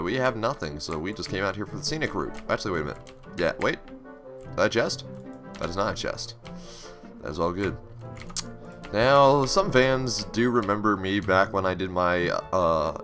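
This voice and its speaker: A man hosting a casual game playthrough, chatty and upbeat, slightly raspy from screaming the day before. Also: low-pitched. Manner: chatty, reads on-screen text aloud, upbeat. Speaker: gaming channel host. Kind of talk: casual let's play commentary.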